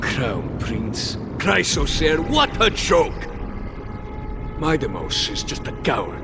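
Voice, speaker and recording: An actor playing a fierce, scornful warrior zealot, declaiming loyalty in a video game. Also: male voice, fairly high pitch, wildly swooping pitch, takes it slowly, heavy background noise, heavily acted. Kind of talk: dramatic video game acting.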